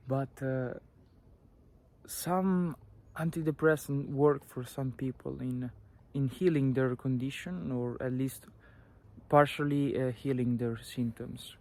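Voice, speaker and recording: Slow, earnest Italian-accented young man. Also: mid-range voice, fairly even intonation, darkish tone. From Italy. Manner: earnest, halting, with many restarts and fillers. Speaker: vlogger. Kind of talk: personal advice talk to camera.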